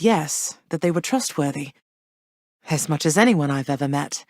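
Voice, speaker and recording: Regal underworld goddess mother, low-pitched, dry close sound, measured and earnest. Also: no room sound. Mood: pondering. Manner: measured, earnest, formal phrasing. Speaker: voice actor. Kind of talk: game voice lines.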